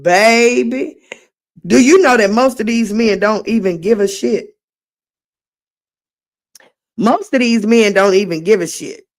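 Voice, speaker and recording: A middle-aged woman with a slightly raspy voice, hosting a casual late-night livestream and reading comments aloud in a sassy way. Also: on the high side, slow, pauses often. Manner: sassy, drawling, reads chat aloud. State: voice getting raspy. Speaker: livestream host.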